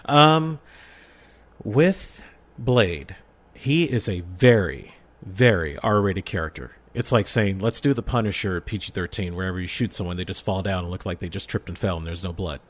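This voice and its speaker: A man chatting casually as a podcast guest, talking in an animated, emphatic fan's way. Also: sits low, moderate pace. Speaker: podcast guest, film reviewer and audio drama creator. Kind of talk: casual podcast interview chat.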